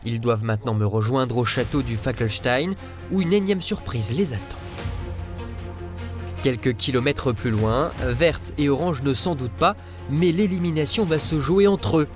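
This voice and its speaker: A man's voice: medium pitch, animated, moderate pace. Man narrating a race show over music. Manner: suspenseful, announcing. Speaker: show host.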